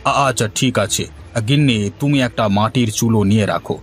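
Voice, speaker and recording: A man's voice: medium pitch, a little fast, natural voice. A man voicing soothing, persuasive village men who explain and advise, in a Bengali fairy-tale cartoon. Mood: coaxing. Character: placating village husband and kindly advising doctor. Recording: music under the voice.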